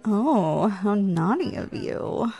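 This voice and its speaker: A woman's voice: mid-range voice, sing-song, slow, vocal fry, warm tone, breathy edge, full character voice. Flirty, teasing woman acting on a date. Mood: sultry, teasing. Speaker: ASMR roleplayer. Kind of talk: ASMR roleplay.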